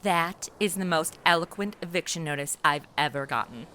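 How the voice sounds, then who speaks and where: A woman's voice: low-pitched, animated, normal speed, pushed and bright, a little hiss or hum. A woman playing a brash, lazy, self-indulgent modern party girl with a sarcastic, whiny manner in a comedy audio drama. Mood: flippant.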